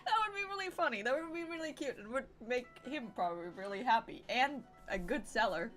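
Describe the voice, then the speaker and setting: Female game streamer: playful, casual reactions, bright-spirited and highly animated, clear music underneath. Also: fairly quick. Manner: playful, giggly. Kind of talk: casual gameplay commentary with reactions. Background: video game music.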